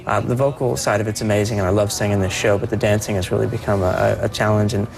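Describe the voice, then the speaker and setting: A young man speaking as a performer guest on a TV interview, soft-voiced and earnest, often restarting his sentences. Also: on the low side, steady tone, quick pace, vocal fry, crowd noise. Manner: earnest, with many restarts and repeated words. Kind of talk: TV morning show interview.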